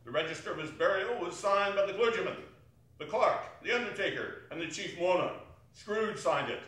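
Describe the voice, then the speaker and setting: A man with a high, bright voice reads a classic tale on stage in a declamatory way, savoring drawn-out words. He is recorded from far off in a very echoey hall. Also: animated. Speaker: stage reader in a theatre company. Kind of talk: theatrical dramatic reading of a classic story. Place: arts center performance space, distant camera.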